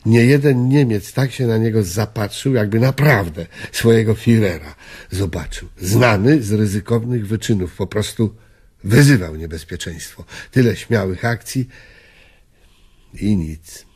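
An actor playing a weary, melancholy old man, speaking reflectively and in measured phrases in a radio drama. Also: fairly low pitch, darkish tone, husky. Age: older. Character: weary, melancholy old widower haunted by wartime memories.

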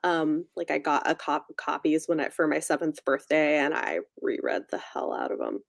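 A young woman in casual book chat on a livestream, informal and halting as she thinks aloud. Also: sits low, huge pitch swings, vocal fry, no echo, studio quality. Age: young adult. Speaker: book discussion co-host. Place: cosy room with bookshelves at home, indoors. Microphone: desk microphone on a video call.

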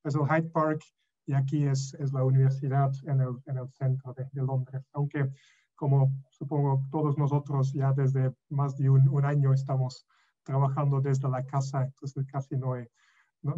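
A middle-aged man from Belgium, not a native speaker, lecturing as a professor on a video call, halting with many fillers and restarts. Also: medium pitch, level tone, warm tone. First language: not Spanish. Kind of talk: online lecture. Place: room at home. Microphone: webcam on a video call. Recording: dull lo-fi video call audio.

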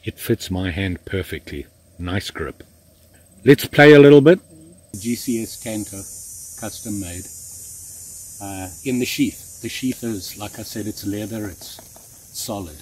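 A man in his sixties giving a relaxed, chatty hands-on knife review outdoors in the woods, with plenty of fillers and restarts. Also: fairly low pitch, measured pace, pauses often, breathy edge, poor audio. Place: outdoors in woods, kneeling in the undergrowth.

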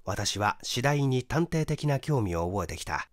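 A man's voice: medium pitch, big pitch swings, unhurried, dry recording, clean studio sound. A man reading a mystery story aloud as scripted narration. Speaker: audiobook reader, stage actor.

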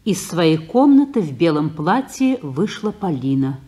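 A woman's voice: sits low, sing-song, a little slow, mellow. Woman reading stage directions. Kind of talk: scripted radio narration.

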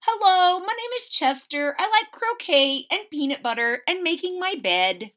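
A woman's voice: very high-pitched, animated, normal speed, fairly bright tone, voice pushed up. A woman voicing a particular, earnest little boy mouse in a storybook, with precise, eager declarations. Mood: proud, eager. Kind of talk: storybook character voice.

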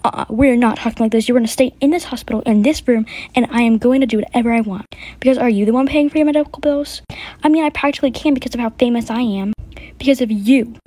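Woman as fame-hungry mom: high, pushy, scolding. Homemade skit. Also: quick pace, warm tone, dry recording, natural voice. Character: fame-hungry mother, pushy and self-centered.

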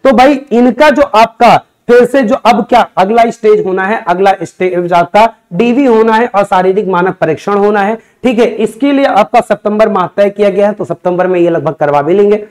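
A man's voice: high-pitched, moderate pace, hardly any pauses, a little mellow. A young man teaching students in an emphatic, conversational way, often asking and answering his own questions. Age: young adult. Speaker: exam coaching teacher. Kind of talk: explanatory lecture to students. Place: indoor teaching studio in front of a digital board.

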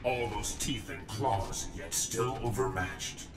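A man's voice: on the low side, takes it slowly, distant mic, very noisy. A man playing a solemn, resolute cyborg ninja in a video game, speaking formally and tersely. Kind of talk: video game cutscene acting.

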